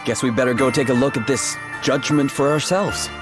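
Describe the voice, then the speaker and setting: An actor playing an earnest, blunt young adventurer in a video game, speaking informally. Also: male voice, medium pitch, steady tone, fairly quick, close to an everyday voice. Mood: wary, puzzled. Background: music.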